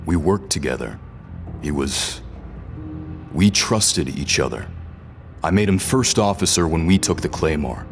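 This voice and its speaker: Imprisoned male rebel leader for audio drama, acted by a man: rock-bottom pitch, unhurried, halting, defiant but disoriented. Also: lively intonation, vocal fry, faint music behind, full character voice. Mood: halting, reflective. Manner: halting, guarded, uncertain. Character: defiant but confused male rebel captain, held prisoner. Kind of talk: science fiction audio drama acting.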